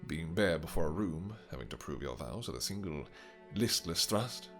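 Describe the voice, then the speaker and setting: A man playing a possessive, lovestruck vampire king in a romantic fantasy audio roleplay, speaking in formal courtly phrases with halting pauses. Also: deep voice, expressive, fairly bright tone, faint music behind. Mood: possessive, firm.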